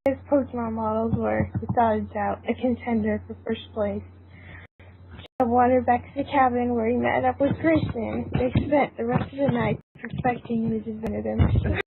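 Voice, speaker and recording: Female story narrator, higher register, amateur group reading, thin lo-fi line. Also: steady tone, normal speed, vocal fry. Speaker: narrator reading a fan fiction aloud. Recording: tinny narrow phone-like line, hissy noise.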